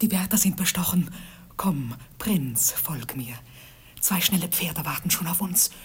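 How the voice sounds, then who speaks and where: An actress playing a passionate schemer, speaking with dark, urgent intensity in a declamatory radio play. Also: female voice, lowish voice, expressive, measured pace, slightly rough, heavily acted. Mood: urgent secrecy. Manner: intense, urgent, dropping to whispers. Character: scheming, passionate woman urging a prince to flee.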